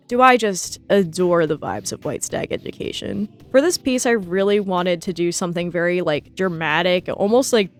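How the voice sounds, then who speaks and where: A young American woman chatting casually and enthusiastically as she gives commentary on art. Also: mid-range voice. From New Jersey, United States. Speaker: artist and video host. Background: background music.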